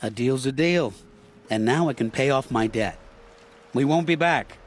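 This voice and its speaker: A man's voice: medium pitch, a little slow, close to an everyday voice. A man playing a desperate, stubborn farmer turned hunter in a video game cutscene, defensive and insistent. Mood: grudging.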